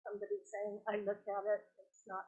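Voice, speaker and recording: A woman's voice: medium pitch, completely flat delivery, a little fast, a little mellow. A woman commissioner questioning staff at a public meeting in a careful, probing manner. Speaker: planning commissioner. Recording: thin phone-like meeting audio.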